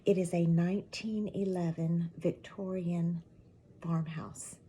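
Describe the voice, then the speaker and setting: A middle-aged woman with a raspy, allergy-worn voice, chatting casually to her viewers in a rambling way, her words often trailing into a soft half-whisper. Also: fairly low pitch, fairly even intonation, takes it slowly, a little hiss or hum. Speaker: channel host. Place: at home with a mug of tea.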